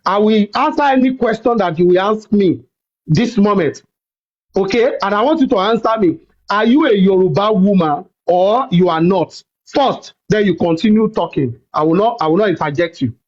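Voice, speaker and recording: A man's voice: high-pitched, animated. Sex: man. Role: live stream host. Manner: repeats words and interrupts with pleas to wait. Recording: dull, lo-fi stream audio. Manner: repeats words, cuts in with rapid "wait" and "hold on". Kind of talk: heated live call-in debate.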